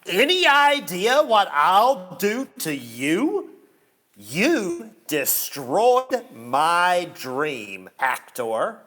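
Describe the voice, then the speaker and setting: A man's voice: fairly high pitch, fairly bright tone, full character voice. A man acting a pompous, spiteful theater critic turned villain in a radio play, with an affected drawl.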